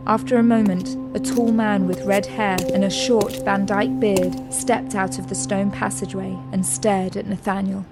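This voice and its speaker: A woman narrating a drama in steady, scripted storytelling. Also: medium pitch, moderate pace, hardly any pauses, loud music behind the voice.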